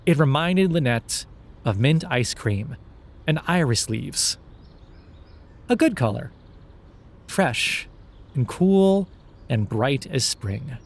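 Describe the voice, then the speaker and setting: A man reading scripted dark fiction as a podcast narrator, in a measured, deliberate way with dramatic pauses. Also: medium pitch, animated, vocal fry.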